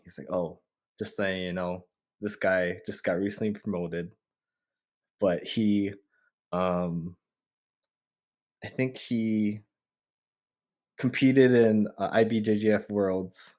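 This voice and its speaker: A man from Hawaii chatting casually as a podcast host, telling a story in slangy speech and acting out what he was thinking. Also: lowish voice. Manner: slangy, animated storyteller who acts out his thoughts.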